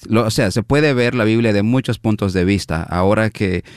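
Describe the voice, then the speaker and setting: Low-voiced man telling a story. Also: clear, resonant tone, no echo, studio quality. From Guatemala. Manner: unhurried, reflective, many "ah" and "am" fillers. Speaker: interview guest, minister. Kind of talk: conversational interview storytelling.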